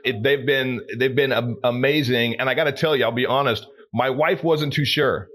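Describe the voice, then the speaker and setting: A man's voice: mid-range voice, steady tone, normal speed, a bit bright. Enthusiastic affirming male podcast host. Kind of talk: interview.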